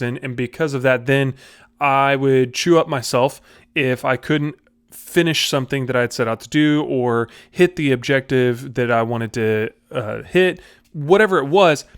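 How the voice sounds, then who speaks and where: A man's voice: medium pitch, fairly bright tone, dry recording, studio quality. A man hosting a podcast, giving a casual motivational talk straight to the viewer in an informal, direct, confiding way. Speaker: podcast host.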